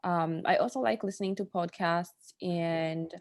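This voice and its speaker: Low gravelly woman in her thirties. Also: unhurried, no echo, clean studio sound. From Tanzania. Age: 30s. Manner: relaxed, hesitant, many fillers. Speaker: guest. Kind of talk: casual self-introduction.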